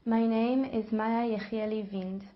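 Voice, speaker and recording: A nineteen-year-old woman, giving plain, steady lines in a scripted appeal. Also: on the high side, a little slow, lo-fi sound. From Israel.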